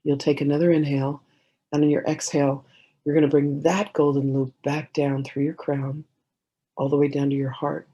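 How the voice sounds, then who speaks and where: Woman meditation guide, dark mellow tone, husky breath, gentle pauses, soothing instruction, dull lo-fi sound. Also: low-pitched, little pitch movement. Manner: gentle, unhurried phrasing with many pauses.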